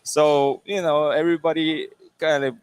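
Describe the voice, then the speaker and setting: A man from Venezuela, a chef and restaurant co-owner, talking in a relaxed, rambling way, full of fillers, in a restaurant. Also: a little high, moderate pace, smooth. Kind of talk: casual interview answers.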